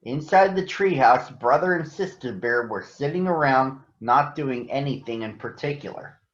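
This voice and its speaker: An American man addressing children and reading a picture book aloud in a deliberate, announcer-like way. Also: medium pitch, expressive. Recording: echoey room, slightly dull sound.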